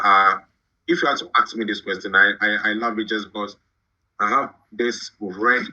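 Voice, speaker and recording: Halting low-voiced man from Ghana, lo-fi. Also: pushed and bright. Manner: halting, repeats words while thinking. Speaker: guest, shoe brand founder. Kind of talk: podcast interview.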